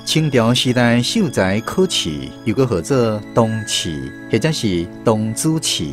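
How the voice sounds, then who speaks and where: Animated male radio-drama narrator. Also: medium pitch, measured pace, over music. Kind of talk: scripted storytelling narration for Taiwanese radio drama.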